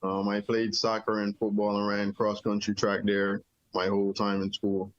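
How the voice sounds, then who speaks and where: Relaxed Jamaican-born man, low voice. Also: moderate pace, no room sound, dull audio. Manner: relaxed, rambling with many "you know" fillers. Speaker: interview guest, army veteran. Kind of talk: casual storytelling interview.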